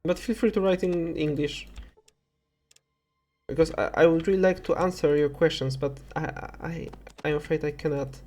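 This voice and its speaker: A man's voice: a little high, steady tone, normal speed, a little mellow. A young man with a Polish accent speaking as a livestreamer, chatting casually with viewers in a rambling, hesitant way and often correcting himself. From Poland. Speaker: video game livestreamer. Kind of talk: casual chat with viewers while streaming.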